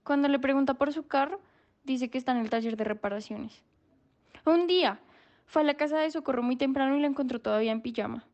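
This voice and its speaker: A slightly lo-fi radio drama take of a woman playing a troubled young confidante. Her voice sits high, and she tells her tale like private gossip. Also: quick pace, darkish tone. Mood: confiding, troubled. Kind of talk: radio drama narrative monologue.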